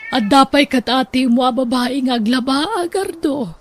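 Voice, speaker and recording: A woman acts as a generous madam with a high voice and a northern Philippine accent. She is brisk and kindly but insistent, in radio drama style. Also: fairly even intonation, a little hiss or hum, natural voice. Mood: approving. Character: generous well-off female employer, kindly and insistent.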